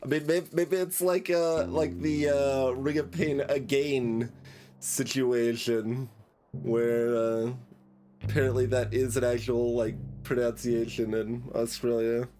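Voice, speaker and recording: A man's voice: mid-range voice, little pitch movement, slow, dark tone, slight background noise. A man streaming a game with live commentary, making hesitant, analytical asides as he goes.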